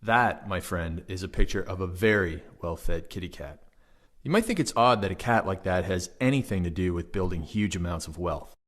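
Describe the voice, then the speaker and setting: A man with a deep voice narrates a sales video. The script is delivered as a chatty tease to the listener. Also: expressive, normal speed. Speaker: advert narrator.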